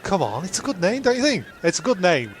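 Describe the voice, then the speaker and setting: A man's voice: high-pitched, very animated, fast talker, slight background noise. A man in an amateur comedy audio drama, dithering and stubborn as he argues for his own ideas. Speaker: cast member and writer.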